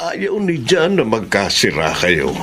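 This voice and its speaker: A man's voice: on the low side, huge pitch swings, unhurried, background noise. Pleading father with swooping intonation. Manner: earnest, emotional, imploring. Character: pleading, protective middle-aged father of a grown son. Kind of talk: Filipino radio drama acting.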